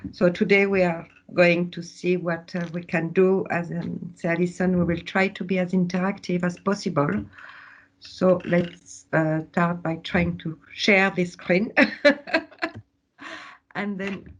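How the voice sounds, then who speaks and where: A woman's voice: on the low side, slow pace, lo-fi sound, a little hiss or hum. A woman from France with a French accent, teaching an online workshop over a video call, laughing often and hesitating with fillers.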